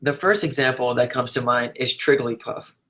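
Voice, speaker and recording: Male reader for an interview, middle-pitched, delivering written answers in clear, measured statements. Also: very noisy.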